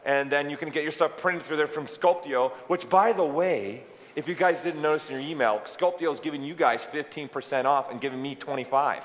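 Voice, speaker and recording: Energetic man hosting in an echoey hall. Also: a little high, fairly quick, few pauses. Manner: joking, energetic, chatty. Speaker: event host. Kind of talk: live conference announcements. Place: large event hall with audience. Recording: echoey hall, faint room noise.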